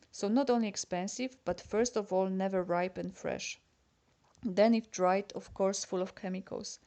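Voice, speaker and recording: Polish woman, native Polish speaker, confiding letter-reader, relaxed dark tone, mid pitch. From Poland. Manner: chatty and confiding, addressing a friend. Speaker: letter writer. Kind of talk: reading a personal letter aloud.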